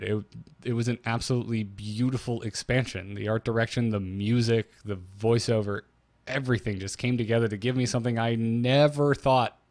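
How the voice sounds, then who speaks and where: A man's voice: medium pitch, no room sound. A man co-hosting a podcast, talking informally and with enthusiasm as he recaps and discusses game lore as a fan. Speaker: podcast co-host.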